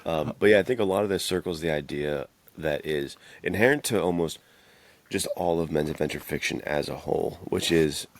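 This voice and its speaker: A man's voice: rumbling low, a little fast, no room sound. A man co-hosting a podcast, chatting about adventure fiction in an enthusiastic, slangy, bro-ish way. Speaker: podcast co-host.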